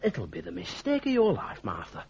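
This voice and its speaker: Gormless, soft-hearted young Northern English son from a 1980s radio play. The voice is high and husky, with wildly swinging pitch as he hesitates and rambles through his protests. The old recording sounds dull. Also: wildly swooping pitch, moderate pace, full character voice. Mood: plaintive. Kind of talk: 1980s British radio drama acting.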